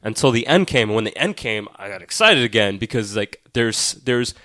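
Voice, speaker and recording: A man's voice: on the low side, expressive, brisk, pushed and bright, dry recording, clean studio sound. A man co-hosting a film podcast, casually arguing his points with swearing and emphatic repetition.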